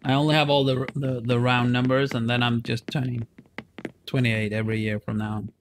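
A man's voice: mid-range voice, flat delivery, a little fast, slight background noise. A man in his forties chatting casually while he streams a game, playful and talkative, speaking into a headset in a small room. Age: 40s. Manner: chatty, playful, talks to chat while playing. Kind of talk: casual live stream chat.

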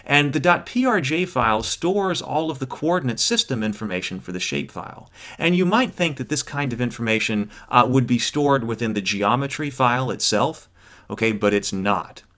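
A man's voice: medium pitch, lively intonation, normal speed, fairly bright tone. A man in his thirties giving an explanatory lecture as an instructor, in a didactic way with check-ins along the way. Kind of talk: explanatory lecture on GIS software.

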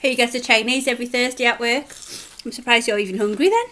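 A woman's voice: fairly high pitch, fast talker, pushed and bright. Chatty young English woman with a cold. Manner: chatty, talks while eating. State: sniffly with a cold. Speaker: mukbang vlogger. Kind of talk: casual cooking and eating vlog. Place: home kitchen.

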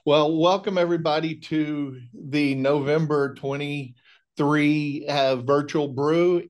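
Higher-pitched man presenting a technical webinar. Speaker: technical trainer, remote monitoring specialist. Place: indoor room on a video call.

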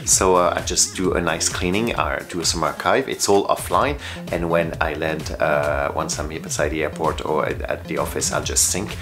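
A man's voice: a bass voice, fairly even intonation, normal speed. A man in his forties who is not a native English speaker, giving casual, chatty travel tips to camera as a vlogger. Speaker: travel vlogger and flight attendant. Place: bedroom, indoors. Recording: music behind the voice. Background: background music.

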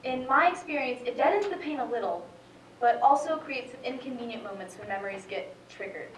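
A woman's voice: a little high, lively intonation, fairly quick, natural voice. A wounded daughter of an abusive home acted by a teenage girl reflects wryly in a stage monologue. The voice sounds distant, ringing in an echoey theatre with faint background noise. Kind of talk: high school stage monologue. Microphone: far camera.

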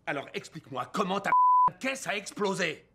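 A man's voice: high voice, animated, moderate pace, vocal fry, background noise. An actor with a French accent playing an irate, domineering middle-aged father in a dubbed comedy film. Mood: stern, menacing. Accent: French (France).

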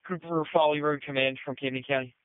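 Male emergency dispatcher: brisk, clipped and procedural, mid pitch, tinny two-way radio sound. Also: steady tone, clean tone, no echo. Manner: businesslike, repeats unit numbers back. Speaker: fire and EMS radio dispatcher.

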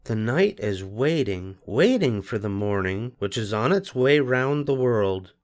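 A patient grown rabbit who soothes a little one at bedtime, his voice soft and lifting warmly through each line, as an older man puts him on. He speaks slowly and gently, lingering on every phrase. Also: medium pitch, lively intonation, close to an everyday voice. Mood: soothing.

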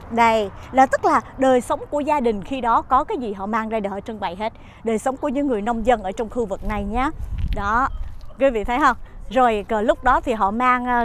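A woman's voice: a little high, fairly bright tone, a little hiss or hum. A middle-aged woman hosting a travel vlog, narrating a walking tour to camera in a chatty way with frequent fillers. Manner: chatty, many "ờ" fillers, addresses viewers as "quý vị". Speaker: travel show host. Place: outdoors at a farm market and inside its shop.

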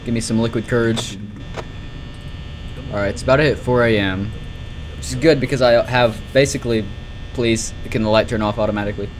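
A man's voice: mid-range voice, fairly even intonation, a little fast, long pauses, background noise. A young man giving casual, rambling live commentary on a horror game, nervous and exclamatory, with some swearing. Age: young adult. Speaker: gaming streamer.

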